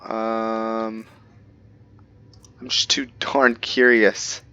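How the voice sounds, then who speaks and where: A man giving casual let's-play commentary, curious and thinking out loud, now and then dropping to a hushed whisper. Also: lowish voice, level tone, extremely slow, clean tone, highs cut off. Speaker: gameplay commentator.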